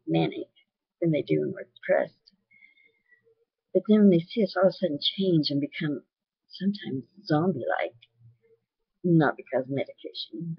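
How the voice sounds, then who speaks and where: A woman with a naturally loud voice talking candidly and earnestly to her webcam in a personal video. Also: sits low, gravelly, husky, dry recording, highs cut off. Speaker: vlogger.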